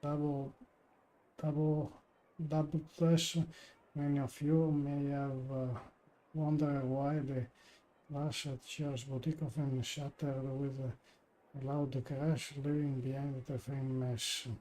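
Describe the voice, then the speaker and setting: Slow, monotone man reading aloud haltingly. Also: mid-range voice, slight background noise, rough recording. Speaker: live streamer. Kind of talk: reading game text aloud. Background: faint game music.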